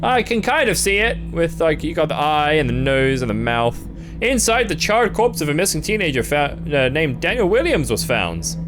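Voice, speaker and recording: A man with a fairly high voice reacts on a stream with music playing behind him. His pitch swings widely as he reads text aloud and drops in sarcastic, deadpan remarks. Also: moderate pace. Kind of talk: live reaction, reading on-screen text aloud with asides.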